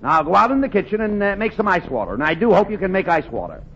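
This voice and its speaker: A man's voice: high voice, sing-song, fast talker, fairly bright tone, full character voice. A man playing a flustered, exasperated uncle in a 1940s radio comedy, stammering and pleading as he gives orders. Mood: bossy. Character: flustered, exasperated uncle hosting a formal dinner. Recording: muffled noisy 1940s radio, studio audience.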